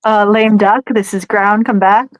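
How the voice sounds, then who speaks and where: A woman reading a ground radio operator in a casual, deadpan table read. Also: mid-range voice, a little slow, dry recording, lightly acted. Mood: calm. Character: male ground radio operator calling a pilot.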